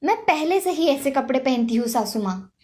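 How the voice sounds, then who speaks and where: Cartoon story role: brazen young daughter-in-law played by a woman, high voice, carefree, vain, talks back. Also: very animated, normal speed, warm tone. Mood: defiant. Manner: flippant, self-assured. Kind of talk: animated moral story dialogue.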